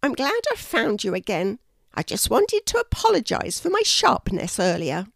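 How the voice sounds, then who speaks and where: Woman as a high-voiced motherly kookaburra. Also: wildly swooping pitch, moderate pace, creaky, no echo, clean studio sound, heavily acted. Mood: apologetic. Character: brisk, kindly mother kookaburra. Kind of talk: children's storybook character voice.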